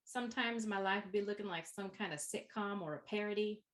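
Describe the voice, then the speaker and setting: Chicana woman giving a poetic welcome. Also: medium pitch. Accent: Chicana English with Spanish code-switching. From East San Jose, California. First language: Spanish. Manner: poetic, rhythmic, unhurried phrasing. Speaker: university instructor. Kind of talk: spoken-word welcome address.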